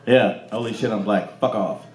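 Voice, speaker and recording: An American man doing a profane stand-up roast on a club stage, brash and quick to snap back at hecklers. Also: lowish voice. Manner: brash, animated, swearing, talking back to hecklers. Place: club stage before a live audience. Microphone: handheld stage mic through PA, filmed from the crowd. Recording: roomy club PA with audience noise.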